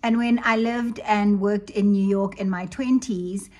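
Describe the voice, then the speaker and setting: A woman's voice: mid-range voice, steady tone, a little slow, darkish tone. A woman in her thirties who grew up in South Africa, giving a personal commentary in a conversational, reflective way with frequent asides. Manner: conversational, reflective, uses asides like "you know" and "right". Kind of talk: personal video essay commentary.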